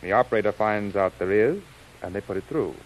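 An actor playing a sinister, scornful gang chief in an old-time radio crime serial, talking in tough gangster slang. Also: male voice, fairly low pitch, a little fast, lightly acted, low-quality recording. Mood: approving, scheming.

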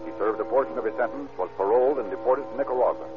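An actor narrating a crime story with brisk authority, in the scripted manner of 1940s radio. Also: male voice, mid-range voice, close to an everyday voice. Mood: brisk, grave. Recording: tinny old radio recording. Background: music.

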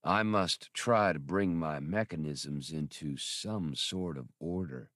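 A man voicing a semi-obsolete labor droid, a tired old machine with a slow, polite drawl. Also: very deep voice, fairly bright tone, no room sound, studio quality, pitched below the performer's own voice, full character voice. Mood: weary.

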